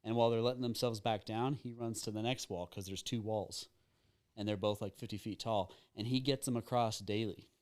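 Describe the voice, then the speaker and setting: A man's voice: lowish voice, fairly even intonation, a little fast. Man rambling through a laughing story. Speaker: podcast guest. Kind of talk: casual storytelling among friends.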